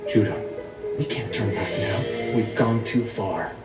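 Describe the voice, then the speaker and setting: A man voicing a bitter, scornful older brother in an animated film, curt and accusing. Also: fairly low pitch, unhurried, lightly acted. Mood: cold, grim. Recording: echoey, distant film audio with music.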